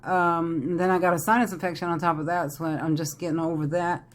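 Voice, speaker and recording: Raspy congested woman. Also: lowish voice, steady tone, brisk. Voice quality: raspy, crackly voice. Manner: chatty, addresses viewers as y'all. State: congested from a head cold. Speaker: tarot reader. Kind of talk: casual psychic reading vlog.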